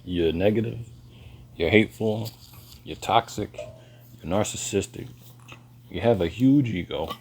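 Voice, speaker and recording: A man, lecturing bluntly in a halting monologue to camera. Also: vocal fry, a little mellow, a little hiss or hum. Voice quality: deep voice. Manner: slow and halting, pauses and restarts. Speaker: vlogger.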